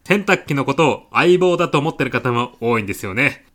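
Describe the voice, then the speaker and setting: A man's voice: mid-range voice, lively intonation, a little fast, a bit bright, close to an everyday voice. A man playing a polite, chatty AI concierge on a customer support line in a comedy audio drama. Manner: courteous, smooth-talking, over-familiar.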